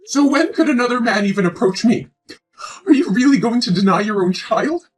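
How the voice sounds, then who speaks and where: A woman's voice: on the high side, animated, brisk, slightly rough, faint music behind. A woman in her twenties playing a hurt, pleading young woman who has just learned she is pregnant, emotional and reproachful. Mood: indignant. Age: 20s. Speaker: pregnant girlfriend. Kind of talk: scripted relationship drama.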